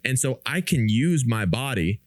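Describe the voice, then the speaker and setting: A man's voice: mid-range voice, big pitch swings, moderate pace, dry recording. A man speaking as a podcast guest in an earnest, informal, emphatic way, in a conversational discussion of faith.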